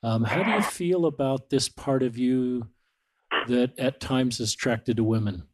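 A man's voice: medium pitch, measured pace. A man hosting a podcast interview who validates his guest's feelings and asks several questions in a row. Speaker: podcast host.

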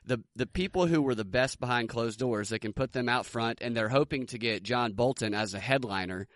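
American man with dry, mid-pitched voice. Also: fairly quick, dry recording. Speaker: podcast co-host. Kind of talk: casual political commentary.

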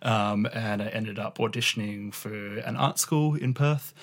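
A man's voice: on the low side, a little slow. A young Australian man from Western Australia, a curator chatting as a radio studio guest, hesitant and full of ums and uhs, self-deprecating. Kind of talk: casual interview chat.

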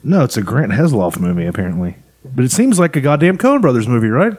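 A man co-hosting a casual podcast chat, loud and joking, full of swearing and riffs. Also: mid-range voice, big pitch swings, quick pace, slightly rough. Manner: loud, joking, profane, riffing. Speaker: podcast co-host.

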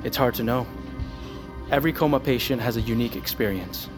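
A man's voice: mid-range voice, fairly quick, over music, natural voice. A man playing a skeptical, exasperated doctor in a naturalistic audio drama, with a clipped, sarcastic manner. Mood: grave, reluctant. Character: skeptical, exasperated male doctor guarding his experimental drug. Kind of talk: naturalistic fiction podcast acting.

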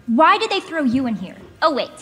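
A woman's voice: sits high, very animated, fairly quick, fairly bright tone. A woman playing a passionate, caring teenage girl in a live script reading. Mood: indignant. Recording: echoey hall.

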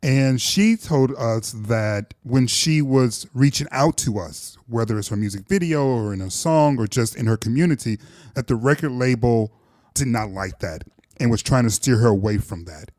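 A man's voice: medium pitch, animated, normal speed, a little mellow, dry recording, studio quality. A man hosting an interview who speaks playfully and effusively, dropping sassy asides. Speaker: podcast host. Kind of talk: conversational interview.

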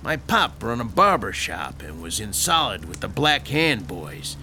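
An actor with a Brooklyn accent playing a vain, self-taught mob boss of about thirty in a radio drama, who keeps correcting his own rough grammar. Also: on the low side, sing-song, unhurried, noisy background. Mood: boastful. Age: 30s. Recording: radio drama with music behind.

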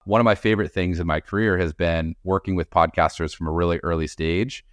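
Smooth, very low-pitched man. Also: fairly even intonation, brisk, no echo. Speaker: podcast industry executive interviewed as guest. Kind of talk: conversational interview answers.

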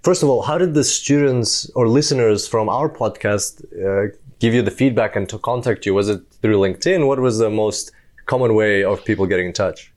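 An enthusiastic male podcast host with a fairly low voice. He interviews his guest in a chatty, upbeat way. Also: moderate pace, darkish tone.

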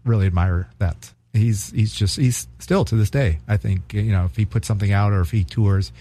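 Low-voiced man hosting a music podcast. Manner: relaxed, halting, reads quiz cards aloud. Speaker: podcast co-host. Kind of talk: casual music chat.

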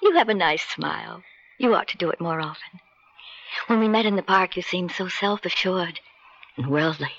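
A woman's voice: lowish voice, moderate pace, vocal fry, a bit bright. An actress playing a flirtatious, self-assured married woman in a radio drama, with a coy, teasing, intimate manner. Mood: coy, inviting. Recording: dull vintage radio recording.

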